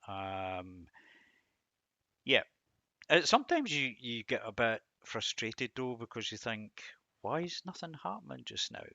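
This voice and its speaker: A man giving an informal devotional commentary on verses, musing and halting, with self-deprecating asides. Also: mid-range voice, big pitch swings, gravelly, bright, forceful tone, no room sound, dull audio. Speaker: host of a Bible reading series.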